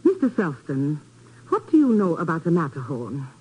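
An actress playing an earnest foreign radio station official, speaking formally and precisely in 1950s radio drama style. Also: female voice, low voice, huge pitch swings, moderate pace, husky, exaggerated voice. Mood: grave, insistent. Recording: muffled old 1950s radio recording.

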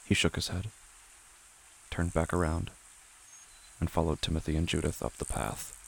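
A man narrating a literary horror drama in a hushed, deliberate way. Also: deep voice, level tone, vocal fry, a little hiss or hum.

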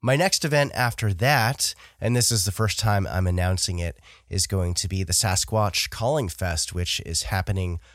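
Male podcast host, nasal and congested, lowish voice, relaxed rambling delivery, dry recording. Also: moderate pace, few pauses, dry recording. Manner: relaxed, chatty, frequent uh fillers. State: nasal from a cold. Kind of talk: casual solo podcast chat.

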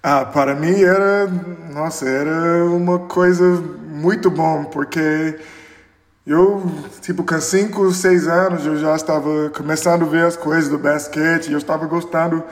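A forty-year-old man from Chicago with an American accent, a native English speaker, reminiscing casually as a podcast guest with halting, non-native grammar. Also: a little high, fairly even intonation. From Chicago, United States. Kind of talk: casual recollection in interview. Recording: echoey, reverberant room.

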